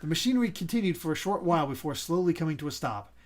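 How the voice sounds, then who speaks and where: A man's voice: on the high side, animated, rapid-fire. A man reading a visual novel game's story aloud as a player, in a dramatic narrating style. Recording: music playing behind the voice. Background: game music.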